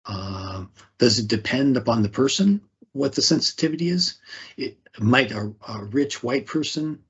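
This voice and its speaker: A man's voice: mid-range voice, animated, normal speed, a bit bright, breathy edge, muffled recording. A man leading a talk on privacy, posing rhetorical questions and often tagging them with "right?". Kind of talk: conversational presentation.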